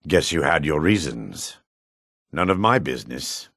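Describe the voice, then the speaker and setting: Proud dark elf, fantasy game character, gruff, fry-laden rasp, theatrical, dry studio sound. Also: male voice, a bass voice, very animated, measured pace, no echo, full character voice. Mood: grim, resigned. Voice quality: gravelly, rasping.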